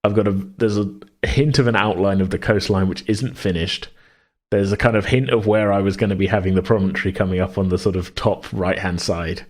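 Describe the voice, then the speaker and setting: A man co-hosting a podcast in a casual worldbuilding chat, rambling as he thinks out loud. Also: low voice, steady tone, brisk. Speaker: podcast co-host.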